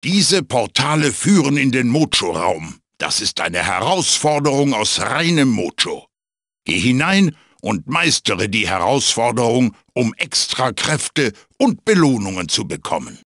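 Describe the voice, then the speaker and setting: Game casting: wise old magic mask mentor, raspy and forceful, theatrical delivery, dryly humorous. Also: male voice, mid-range voice, sing-song, measured pace, no echo, clean studio sound, heavily acted. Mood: encouraging, instructive. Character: wise, fatherly ancient magical wooden mask, wry mentor. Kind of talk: video game character voice acting, tutorial guidance.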